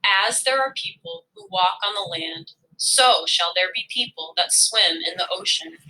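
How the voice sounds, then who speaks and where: A woman's voice: medium pitch, big pitch swings, unhurried, pushed and bright, full character voice, low-quality recording. A woman storyteller voicing a benevolent, motherly earth goddess who makes solemn proclamations in a storybook. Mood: solemn decree.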